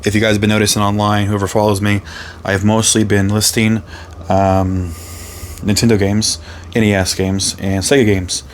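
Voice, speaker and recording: A man with a hoarse, raspy voice, casually chatting through a reseller vlog, rambling with lots of ums and self-corrections. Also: lowish voice, flat delivery, moderate pace, a little hiss or hum. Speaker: reseller vlogger. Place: his resale booth and home workspace.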